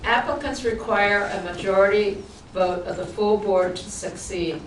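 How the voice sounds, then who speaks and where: A woman chairing a town board meeting, reading out procedure in a formal, measured way with light asides. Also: mid-range voice. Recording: echoey, distant, noisy public-access broadcast.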